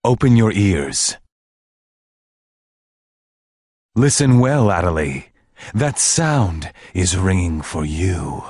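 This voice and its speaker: A man playing a menacing, sanctimonious leader in a video game, theatrical, condescending and deliberate, with music behind him. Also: deep voice, sing-song, a little mellow, husky, no echo, clean studio sound, full character voice. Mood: menacing, sermonizing. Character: menacing, sanctimonious male cult-like leader and manipulator. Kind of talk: video game cutscene voice acting.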